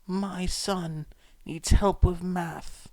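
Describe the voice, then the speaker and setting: A man voicing a ragged, scarred mother, apologetic and awkward, with a hoarse, cracked, halting voice in an audiobook story. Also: high-pitched, steady tone, a little mellow, no echo, voice pushed up, full character voice. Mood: hesitant, pleading.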